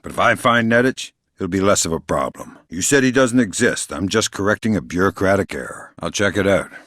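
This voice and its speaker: A man playing a middle-aged, dry-witted, gruff covert operative in a video game, deadpan, sardonic and quietly menacing. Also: sits low, a little fast, no room sound. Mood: focused, terse. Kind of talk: video game voice acting, isolated dialogue lines.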